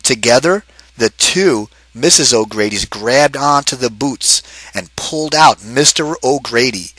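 Bright-voiced man reading to kids. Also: medium pitch, animated, a little slow, no room sound, rough recording. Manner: asks the kids questions between pages. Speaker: teacher reading aloud. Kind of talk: interactive read-aloud for children.

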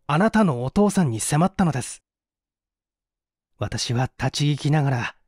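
A man's voice: high voice, a little mellow, dry recording, clean studio sound, exaggerated voice. A man voicing an earnest, dutiful young man who speaks politely and formally in a dramatized story reading. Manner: formal, earnest, emphatic phrasing.

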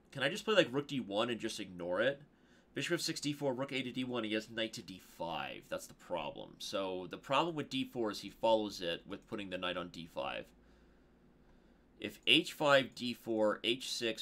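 A man in his thirties streaming from a room on a headset mic, commenting on his own game with excitable, jokey asides while working out moves aloud. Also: on the low side. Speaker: chess streamer. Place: indoor home streaming room. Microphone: headset microphone.

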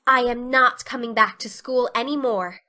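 A woman's voice: a little high, moderate pace, pushed and bright. A woman voicing a proud, stubborn young schoolgirl with an earnest, melodramatic manner in an audiobook. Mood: defiant. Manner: earnest, dramatic. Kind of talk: audiobook character dialogue.

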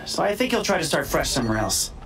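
An actor playing a flippant, theatrical masked hacker in a video game, sarcastic and joking but stubborn. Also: male voice, a little high, big pitch swings, fast, noisy background. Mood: wry, joking. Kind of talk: video game cutscene acting. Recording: music behind the voice.

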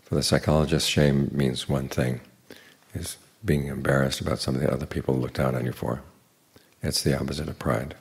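An older man, a Buddhist monk, giving an evening dharma talk in an unhurried, plain teaching manner with dry humor. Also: fairly even intonation. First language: English. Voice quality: very deep gravelly voice.

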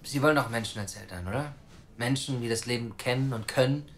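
A male actor plays a cocky young man in an audio drama, speaking at a medium pitch. He is defiant, blunt and coarse in a natural, conversational way, with faint noise behind him. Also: fast, lightly acted. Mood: indignant, heated. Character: brash, provocative young man pleading to adopt a child.

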